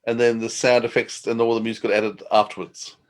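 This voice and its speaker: A man's voice: mid-range voice, little pitch movement, fairly quick. A man hosting a podcast, asking questions and reacting in a casual, conversational way. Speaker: podcast host, interviewer. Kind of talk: casual interview chat.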